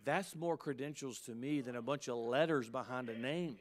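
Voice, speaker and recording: Fifties male pastor, emphatic pulpit delivery, climbs high on key points, quick asides. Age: 50s. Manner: emphatic preaching with rising, punchy peaks and quick asides. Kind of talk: sermon to pastors.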